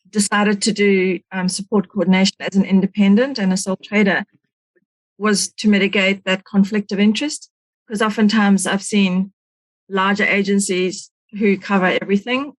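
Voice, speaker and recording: A middle-aged woman with a South African accent talks as a guest on a podcast. Her voice sits at a medium pitch, recorded dry with very little room sound. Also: no echo, rough recording. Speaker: podcast guest, support coordinator. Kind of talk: conversational interview.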